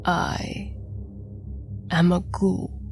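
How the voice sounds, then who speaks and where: A woman voicing a calm, ancient male ghoul with a raspy whisper in a storybook horror tale. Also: lowish voice, faint music behind, heavily acted. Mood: calm menace. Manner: slow, calm, breathy whispering.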